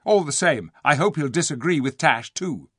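A man's voice: a little high, lively intonation, moderate pace, fairly bright tone, husky, no echo, clean studio sound, pitched above the performer's own voice. A man voicing a blunt, hot-tempered schoolboy in a storybook reading, indignant and exclamatory. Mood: spiteful.

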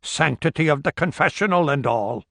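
Reader doing a barkeep, polite, spinning a story, a bit raised, dry close mic. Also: male voice, fairly high pitch, lively intonation, moderate pace, creaky, a bit bright, dry recording, heavily acted. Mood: telling a tale. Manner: deferential, storytelling. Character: friendly middle-aged male Highland barkeep.

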